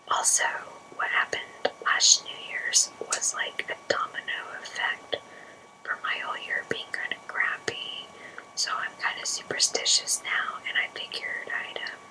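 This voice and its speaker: A woman in her twenties chatting casually in a breathy, unvoiced ASMR whisper, confiding and halting as she tells personal stories. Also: very high-pitched, sharp tone, rough recording. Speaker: ASMR channel host.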